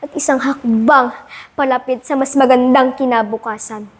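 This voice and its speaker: High-voiced Filipino schoolgirl declaiming. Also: fairly even intonation. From Philippines. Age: child, about 10 to 11 (fifth grade pupil). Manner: dramatic, emphatic, deliberate oratory with rising emphasis. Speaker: student monologue performer. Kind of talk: rehearsed declamatory monologue.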